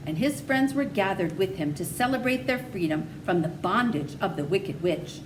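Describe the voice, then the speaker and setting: Woman storybook reader, distant and noisy recording. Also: mid-range voice. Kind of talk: read-aloud children's story narration. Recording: noisy, distant microphone pickup.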